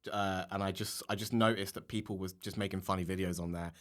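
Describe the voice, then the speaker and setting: Low-voiced English man, jokey. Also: fairly even intonation, fast, fairly bright tone. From Norfolk, England. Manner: jokey, laughs often while talking. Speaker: podcast guest, comedian. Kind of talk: casual comedic chat.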